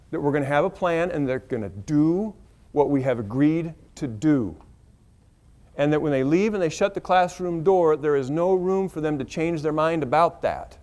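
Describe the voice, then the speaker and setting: Blunt American man giving an emphatic speech. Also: fairly high pitch. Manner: emphatic, blunt, repeats key phrases. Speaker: education administrator giving a speech. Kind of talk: informal motivational speech to educators.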